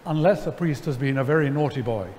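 A middle-aged man, seated on stage, telling a story to an audience in a wry, animated way, full of ums and restarts. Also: mid-range voice, fast talker, a little hiss or hum. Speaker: lecture guest. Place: large church sanctuary before an audience. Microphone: headset mic. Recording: hall reverb, faint ambience.

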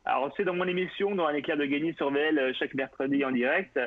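A man hosting a live radio interview, lively and joking, often chiming in. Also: on the high side, quick pace, no room sound, narrow, tinny audio. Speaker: radio show host.

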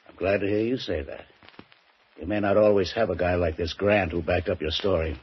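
A gruff low-voiced police lieutenant. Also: male voice, steady tone, fairly quick. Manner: gruff, no-nonsense. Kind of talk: 1940s radio drama acting. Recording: muffled 1940s radio broadcast.

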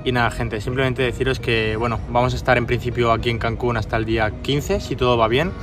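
A man's voice: medium pitch, fairly even intonation, quick pace, over music. A young man from Spain talking casually to his phone camera outdoors, calling his viewers "gente" and "chavales". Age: 20s. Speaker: travel vlogger. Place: outdoors near an airport, by a parking lot. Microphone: handheld phone camera at arm's length.

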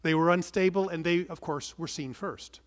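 A middle-aged man preaching a sermon from the pulpit, earnest and measured, stressing some words and letting phrase endings fall soft. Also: fairly high pitch. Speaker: pastor. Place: large wood-panelled church, indoors. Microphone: pulpit microphone. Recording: long church reverb.

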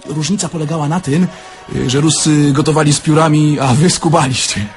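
An actor playing a naive, candid young Polish car thief in an audio drama, talking in a plain, conversational way. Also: male voice, fairly high pitch, steady tone, brisk, soft background music, close to an everyday voice. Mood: wry reminiscing. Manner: conversational, plain-spoken, reminiscing.

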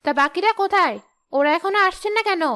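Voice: very high voice, quick pace, pushed and bright. A performer voicing a bossy female ghost teacher in a children's cartoon, scolding and sarcastic. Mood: wry.

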